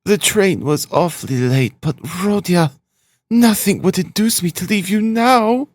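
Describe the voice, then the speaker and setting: A man's voice: high voice, measured pace, dry recording, voice pushed up. A man voicing an anxious, timid, doting middle-aged mother in an audiobook, pleading and fretful. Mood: tender, pleading. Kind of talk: audiobook character acting.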